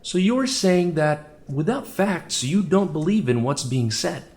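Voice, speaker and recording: A man's voice: a little high, expressive, a little slow, a little mellow. A man acting as a courtroom lawyer in an audio drama, questioning in a formal, persistent way. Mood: probing.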